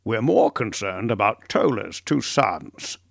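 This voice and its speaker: A man voicing a thoughtful middle-aged professor who speaks in a measured, deliberate way. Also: on the low side, sing-song, gravelly, dry recording, studio quality, full character voice. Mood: reassuring.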